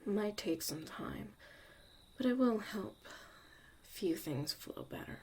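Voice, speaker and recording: A woman acting a gruff, guarded but secretly caring young mage mentor in a zombie apocalypse ASMR roleplay, soft-spoken and often near a whisper. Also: mid-range voice, expressive, takes it slowly, a little hiss or hum. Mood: calm, soothing.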